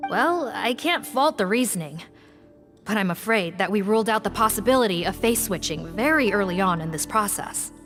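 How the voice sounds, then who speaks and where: Game investigator, female actor: courteous, skeptical, mid-pitched, formal, music clearly underneath. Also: expressive, moderate pace, fairly bright tone, natural voice. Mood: politely doubtful. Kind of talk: video game character acting.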